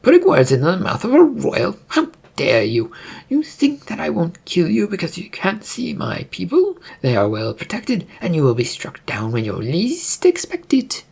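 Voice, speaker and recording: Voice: medium pitch, wildly swooping pitch, moderate pace, creaky, a little mellow, breathy edge, pitched above the performer's own voice, heavily acted. A narrator voicing a haughty, paranoid fantasy prince in an imperious, indignant manner for a story.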